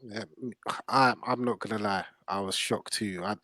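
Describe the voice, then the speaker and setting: A man's voice: fairly low pitch, fairly even intonation, normal speed, sharp tone, no room sound, clean studio sound. A man hosting a casual football discussion in informal, slangy speech. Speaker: podcast host.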